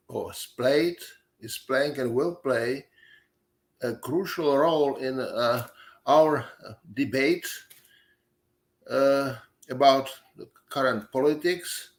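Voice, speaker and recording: A man in his seventies with a Czech accent, a native Czech speaker giving an academic lecture, pausing often on fillers and restarting phrases. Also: mid-range voice. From Czech Republic. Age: 70s. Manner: halting, many fillers and restarts.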